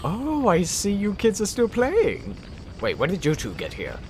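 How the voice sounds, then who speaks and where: A woman playing a mischievous grown cartoon aunt who is teasing, sardonic and quick to scold. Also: mid-range voice, wildly swooping pitch, darkish tone, slight background noise. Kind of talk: animated fan audio drama acting.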